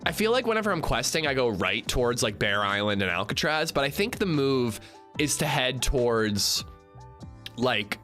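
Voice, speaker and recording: A chatty male streamer in his thirties, a little congested. His voice is bright and forceful, and soft music plays in the background. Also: very animated, moderate pace. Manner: chatty, swings from upbeat shout-outs to low mumbling. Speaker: livestreamer. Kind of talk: casual livestream chat greeting viewers.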